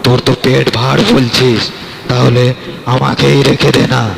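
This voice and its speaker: A man's voice: medium pitch, little pitch movement, measured pace, creaky. A man voicing a gullible, eager tiger uncle with a hearty, coaxing manner in a cartoon. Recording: long reverb, faint noise.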